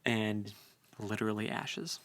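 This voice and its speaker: A man's voice: fairly low pitch, level tone, measured pace, fairly bright tone, dry recording. A man co-hosting a book discussion in a casual chat style, thinking aloud in a hesitant way. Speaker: podcast co-host.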